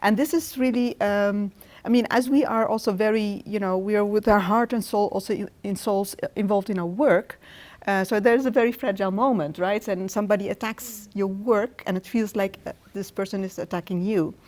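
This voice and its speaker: A woman's voice: mid-range voice, steady tone, normal speed, a little mellow. Hesitant woman professor, thinking aloud. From Tunisia. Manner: thoughtful, hesitant with restarts. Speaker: guest professor. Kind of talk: academic interview answers.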